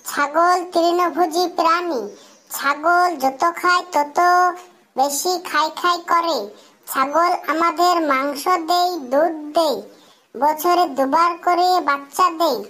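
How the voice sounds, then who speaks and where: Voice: extremely high pitch, steady tone, takes it slowly, pushed and bright, a little hiss or hum, full character voice. A performer voicing an earnest cartoon schoolboy who speaks like a pupil reciting memorized lessons. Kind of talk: cartoon comedy dialogue.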